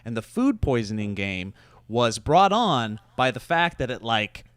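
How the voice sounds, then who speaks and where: A man telling stories and pitching ideas casually on a podcast, digressive and loosely conversational, with some swearing. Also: big pitch swings, unhurried, fairly bright tone, clear, resonant tone, no echo. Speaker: podcast host. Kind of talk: casual comedic storytelling and pitching.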